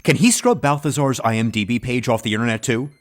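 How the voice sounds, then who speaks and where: A man's voice: mid-range voice, expressive, fast talker, soft background music, natural voice. An actor playing a dry, wisecracking man on the team. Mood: wry. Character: wry, sarcastic male team member.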